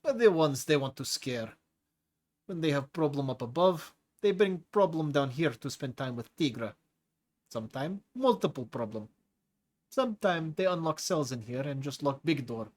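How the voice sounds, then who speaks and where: A man's voice: fairly high pitch, expressive, normal speed, a little mellow, close to an everyday voice. A man doing a cocky clawed tiger-man brute. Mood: smug. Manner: broken English in a thick accent; boastful, relishing violence. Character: hulking clawed male tiger-like prisoner, gruff, cocky.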